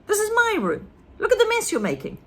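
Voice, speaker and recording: A woman's voice: extremely high pitch, wildly swooping pitch, brisk, creaky, a bit bright, pitched above the performer's own voice, full character voice. A woman voicing an anxious young boy who pleads and frets. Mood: pleading. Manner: pleading, fretful.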